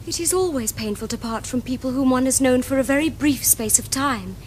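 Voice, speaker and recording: A woman's voice: sits high, brisk, darkish tone, a little hiss or hum, lightly acted. An actress playing a prim, romantic young Victorian lady, speaking precisely and demurely with an upper-class English accent. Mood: tender, formal. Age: young adult. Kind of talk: period stage comedy acting for television.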